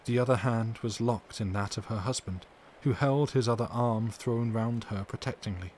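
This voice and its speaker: A man reads literary narration in a measured, dramatic style. His pitch is average for a man. The recording carries faint steady noise. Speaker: audiobook reader, streamer. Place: live stream from indoors.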